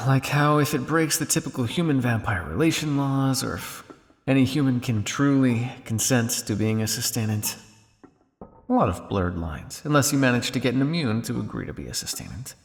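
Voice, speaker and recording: Suave male vampire scientist, played by a man, cynical and condescending, gravelly creak, long reverb, ASMR roleplay. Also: medium pitch, lively intonation, lightly acted. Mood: probing, wry. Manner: teasing, condescending, drawling with theatrical pauses.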